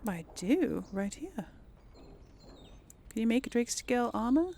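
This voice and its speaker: Slow-paced woman gaming host. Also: a little high, very animated, warm tone. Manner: thinking aloud, chatty. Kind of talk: casual role-play game commentary.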